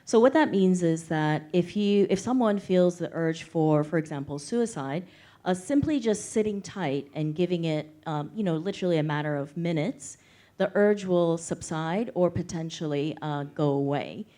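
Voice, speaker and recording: Low, mellow-voiced woman moderating. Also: moderate pace. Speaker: panel moderator. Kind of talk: moderated panel discussion, posing questions.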